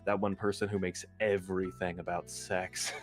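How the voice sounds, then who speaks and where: Low-voiced man in casual stream chat. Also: level tone, moderate pace. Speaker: ASMR content creator, stream guest. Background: music.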